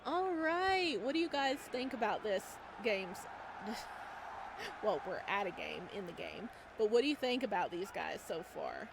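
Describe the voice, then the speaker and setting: A woman's voice: medium pitch, wildly swooping pitch, a little slow, noisy background. A woman reading a visual novel aloud as a let's play host, with casual, amused asides.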